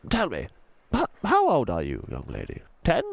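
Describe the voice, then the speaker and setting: A man's voice: mid-range voice, wildly swooping pitch, moderate pace, vocal fry, breathy edge, no echo, heavily acted. A man voicing a courteous, proud old tramp with a posh English accent in a storybook reading. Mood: grateful, kindly.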